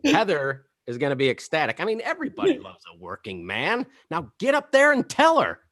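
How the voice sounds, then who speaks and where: A man's voice: on the high side, huge pitch swings, fairly quick, a bit bright, exaggerated voice. A man playing a wry, exasperated middle-aged dad, dry and sarcastic, in a comedy table read. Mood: encouraging, wry.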